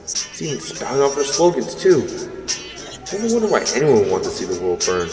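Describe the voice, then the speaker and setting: Put-upon young male cashier played by a man for audio drama, wry and animated, music underneath. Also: medium pitch, brisk, roomy sound, big-room sound, close to an everyday voice. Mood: sour, dreading. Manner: sarcastic, exasperated. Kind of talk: audio drama acting with inner monologue.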